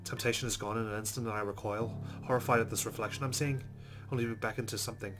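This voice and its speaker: Male let's-play host with a low voice, narrating a visual novel over prominent music. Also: steady tone, very fast. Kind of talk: narrated game playthrough with casual commentary.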